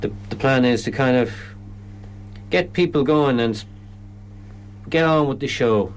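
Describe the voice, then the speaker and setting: A man's voice: medium pitch, measured pace, slight background noise. An Irish man explaining his comedy event in a radio interview, often stopping and restarting sentences. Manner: hesitant, lots of restarts.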